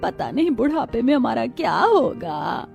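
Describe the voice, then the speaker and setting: A woman's voice: a little high, sing-song, a little slow, creaky, a little mellow, soft background music, heavily acted. Taunting, suspicious mother-in-law, done by a woman. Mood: scornful, suspicious. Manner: sarcastic, complaining. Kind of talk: cartoon family melodrama.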